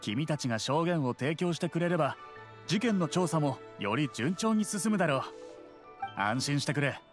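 A man voices a young city guard from a video game, stern and dutiful. The voice is fairly high and animated, official in tone, over faint music. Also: normal speed, natural voice. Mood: businesslike. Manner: official, firm. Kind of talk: Japanese game voice acting.

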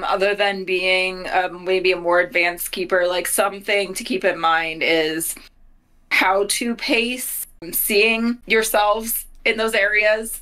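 A woman's voice: fairly low pitch, bright, forceful tone. A woman in her thirties or forties giving a casual spoken review in conversation. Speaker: player and reviewer. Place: small home office on a video call.

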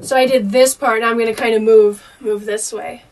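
A young woman chatting excitedly through a DIY project inside an RV, full of fillers, recorded on a camera mic across the table. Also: on the high side, fairly quick, distant mic, a little hiss or hum. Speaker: RV DIY vlogger. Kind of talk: casual DIY narration.

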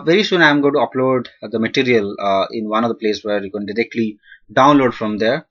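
A man's voice: medium pitch, bright, forceful tone. Young Indian male lecturer, mid-range voice, hesitant and filler-heavy, muffled home-recorded audio. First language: not English. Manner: hesitant, many "uh" fillers and restarts. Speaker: analytics instructor. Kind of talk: recorded Q&A lecture over slides.

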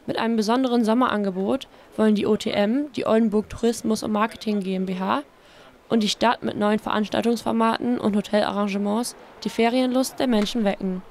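A woman's voice: a little high, steady tone, a little fast, a little mellow, a little hiss or hum. A woman reading scripted narration for a news report as its reporter.

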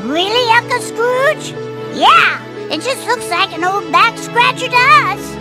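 A woman's voice: very high-pitched, lively intonation, a little slow. A woman playing a curious, nervous young duckling child in a cartoon game. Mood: doubtful. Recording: game cutscene with music underneath.

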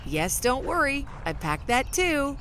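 A woman's voice: high voice, big pitch swings, a little slow, fairly bright tone, a little hiss or hum, heavily acted. A woman playing a chatty, caring young lawyer in an amateur audio drama. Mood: friendly. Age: young adult.

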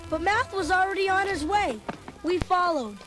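A chubby, food-loving boy narrates in a very high voice, chatty and full of comic asides. The telling is scripted storybook narration. Music runs underneath throughout. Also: lively intonation, normal speed.

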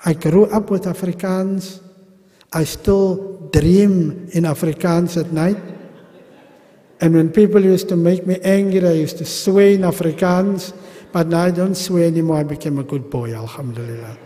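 A middle-aged South African man teaching a pilgrimage class at a mosque podium, animated and gesturing, with humorous asides. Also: sits high, moderate pace. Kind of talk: religious class lecture. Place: large mosque hall. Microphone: gooseneck podium mic. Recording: long reverb of a mosque hall.

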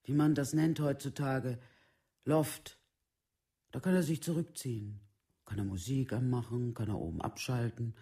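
Radio drama: weary working-class mother played by a man, mellow voice, plain-spoken, resigned, devoted to her sons. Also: mid-range voice, moderate pace, creaky, natural voice. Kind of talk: documentary radio play monologue.

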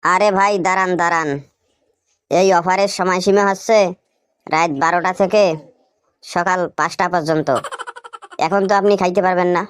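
A woman's voice: fairly low pitch, steady tone, normal speed, pauses often, clean tone, natural voice. A woman with a Rangpur Bangladeshi accent voicing a sly, argumentative shopkeeper in a comic cartoon, talking like a mock salesman. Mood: sly salesmanship. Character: sly, vengeful shopkeeper with absurd offers.

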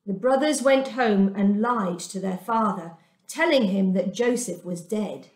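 A woman in her fifties reading a children's storybook aloud in a deliberate, expressive way, recorded indoors in a room at home. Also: medium pitch. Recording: echoey domestic room.

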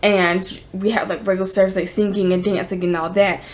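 A young woman with an American accent chatting casually to the camera in an informal, halting, rambling way. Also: mid-range voice, little pitch movement. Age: teens to young adult. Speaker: vlogger. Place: indoors, home room. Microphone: camera at arm's length. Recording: noisy handheld camera recording with room ambience.

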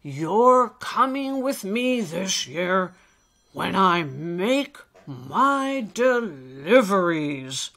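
A woman voicing a jolly, kindly old male elf in a children's story, with a hearty, drawn-out delivery. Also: mid-range voice, big pitch swings, a bit bright, full character voice. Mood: jolly, inviting.

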